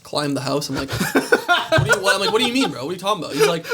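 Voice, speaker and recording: A man's voice: fairly high pitch, big pitch swings, very fast, noisy background. A man hosting a casual podcast chat, joking and mimicking his friends as he tells anecdotes. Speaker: podcast host and editor.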